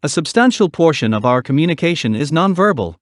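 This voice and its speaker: Lively-pitched man reading aloud. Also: a little fast, no room sound, clean studio sound. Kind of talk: scripted read-aloud narration for exam practice.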